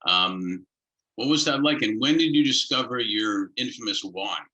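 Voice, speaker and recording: A bright, forward male voice with noticeable room echo hosts a casual interview. He is on the low side in pitch and chats informally, taking his time to build up to each question. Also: normal speed, muffled recording. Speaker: interviewer, host. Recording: echoey room sound.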